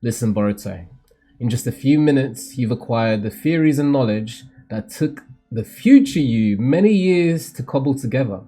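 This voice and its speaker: A man voicing a solemn, cryptic mentor who sees the future, in a theatrical, measured, formal manga read-aloud. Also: mid-range voice, expressive, dark tone, faint music behind, lightly acted.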